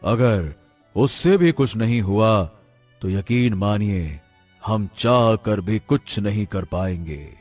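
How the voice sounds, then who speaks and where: A man playing a worried, solemn king in an animated moral story, grave, halting and respectful. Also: fairly low pitch, faint music behind. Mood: grave, resigned. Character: worried, solemn king burdened by a demon's threat.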